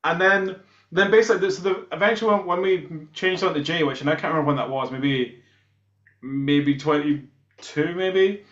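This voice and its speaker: A man's voice: a little high, moderate pace, a bit bright. A Scottish man who plays guitar in a band, chatting casually and telling anecdotes as an interview guest. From Scotland. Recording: muffled, roomy video-call sound.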